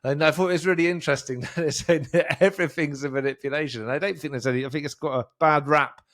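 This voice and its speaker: A man's voice: a little high, steady tone, quick pace, fairly bright tone. A man hosting a podcast, talking loosely and chattily, often restarting his sentences as he thinks aloud. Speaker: podcast host. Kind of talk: casual interview talk.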